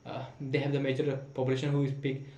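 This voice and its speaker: A man's voice: medium pitch, completely flat delivery, fast, mellow. A young man from India, speaking English as an in an unscripted monologue to camera, full of fillers and restarts. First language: not English. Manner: hesitant, many fillers and restarts. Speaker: vlogger practicing spoken English. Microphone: camera mic. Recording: echoey room.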